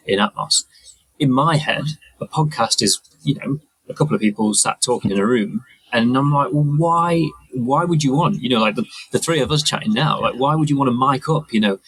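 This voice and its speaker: A man's voice: medium pitch, a bit bright, slightly rough, dry recording, low-quality recording. A man explaining things casually as a guest in a conversation. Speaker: podcast guest, audio mixer.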